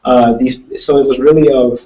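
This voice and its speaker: A man's voice: medium pitch, fairly even intonation, moderate pace, warm tone, distant mic, lo-fi sound. A young man, a student, answering audience questions in casual talk, thoughtful and rambling, with many restarts. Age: young adult. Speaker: student speaker.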